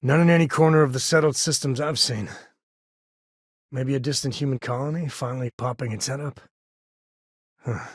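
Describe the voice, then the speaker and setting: A man acts out an easygoing spacefaring cowboy for a video game. His middle-pitched voice is heavily husky, with folksy turns of phrase and dry sarcasm. He comes across as a wry, devoted father, recorded dry without room sound. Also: fairly even intonation, moderate pace, pauses often, dry recording, close to an everyday voice. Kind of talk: video game character dialogue.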